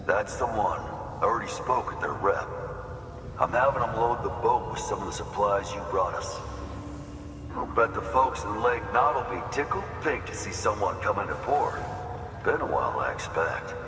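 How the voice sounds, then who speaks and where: Game cutscene male actor: helpful, folksy outpost man, lowish voice, plainspoken, echoing space with clear background noise. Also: expressive, normal speed, natural voice. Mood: folksy, businesslike. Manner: folksy, drawling turns of phrase. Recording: echoey hall, distant, noisy ambience.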